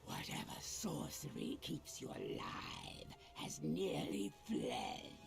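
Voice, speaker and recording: An actor playing a huge, ancient, malevolent dragon in a video game, scornful and imperious, with a gravelly, hissing growl. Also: male voice, huge pitch swings, full character voice. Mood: gloating. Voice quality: deep gravelly growl, breathy hiss. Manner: slow, menacing, imperious. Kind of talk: video game villain acting. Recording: game music behind the voice.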